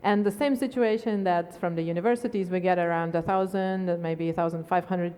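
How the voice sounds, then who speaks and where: A woman speaks in a lower-pitched voice. She moderates conversationally from a conference stage, and the hall adds a long reverb. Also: steady tone, brisk, dark tone, smooth.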